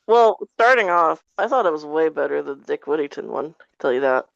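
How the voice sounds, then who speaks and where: Woman, lowish voice, halting casual podcast chat, dry, dull lo-fi audio. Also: expressive, gravelly, no room sound. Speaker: podcast panelist.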